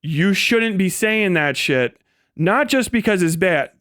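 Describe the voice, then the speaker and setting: A man's voice: high voice, animated, takes it slowly, a bit bright, no echo, studio quality. A man giving casual, unscripted livestream commentary, rambling and incredulous, with slangy talk and heavy swearing. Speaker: live streamer and political commentator.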